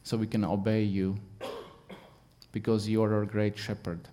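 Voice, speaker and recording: A man with a Latvian accent, Latvian his first language, preaching a sermon as a guest in halting English, earnest and full of fillers. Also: on the low side, little pitch movement, dark tone.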